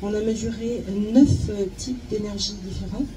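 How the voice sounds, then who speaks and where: A woman's voice: medium pitch, fairly even intonation, normal speed, mellow, poor audio. A woman interpreting informally phrase by phrase, hesitant, searching for words and repeating herself. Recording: clear background noise with chirping insects.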